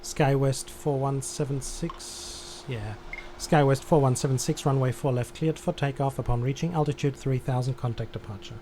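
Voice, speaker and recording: A man with a soft, mellow voice streams an air traffic control game. He gives tower instructions and adds his own commentary over light background noise. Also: mid-range voice, steady tone, normal speed.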